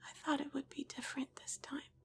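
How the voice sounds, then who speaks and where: A woman voicing a soft-spoken worried friend. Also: high-pitched, lively intonation, slow pace, creaky, pitched above the performer's own voice, heavily acted. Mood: sad. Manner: soft, quiet, gentle.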